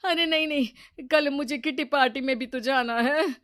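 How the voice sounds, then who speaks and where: A woman's voice: high voice, moderate pace, a bit bright. A woman voicing an elderly, suspicious and boastful mother-in-law in a cartoon story, theatrical and fussy in her delivery. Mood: pleading, alarmed. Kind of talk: Hindi cartoon storytelling dub.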